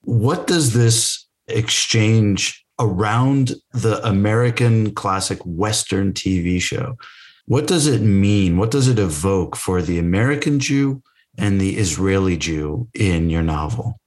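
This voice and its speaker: Deep-voiced man asking measured questions. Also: animated, a little mellow. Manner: measured, reads quotes then asks. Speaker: podcast interviewer. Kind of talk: prepared interview questions.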